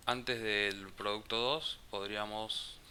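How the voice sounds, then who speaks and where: A man with a low voice and a very bright, forceful tone teaches programming. He talks slowly and haltingly, restarting phrases as he works through code. A faint hiss sits under the voice. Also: steady tone. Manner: slow, halting, thinks aloud with restarts. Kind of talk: live coding class explanation.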